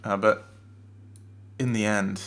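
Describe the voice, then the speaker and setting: A man's voice: on the low side, steady tone, slow pace, a bit bright. A man with a cold and a strained voice giving a casual chart-analysis webinar, hesitating often with fillers and restarts. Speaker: forex trading analyst.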